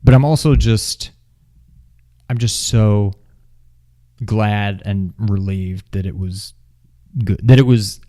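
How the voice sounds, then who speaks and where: Lowish-voiced man in casual review chat. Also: slow, pauses often, warm tone. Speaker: movie review co-host.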